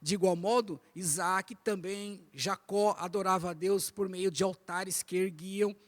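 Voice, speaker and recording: A man's voice: high voice, steady tone, unhurried. A man from the São teaches a church Bible lesson, didactic, repeating phrases and asking rhetorical questions. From Brazil. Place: inside a church, speaking to a congregation.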